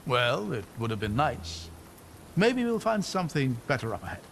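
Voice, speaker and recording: Wry, regretful fugitive companion. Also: male voice, mid-range voice, very animated, normal speed, gravelly, slight background noise. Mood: wry, uneasy. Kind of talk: video game cinematic acting.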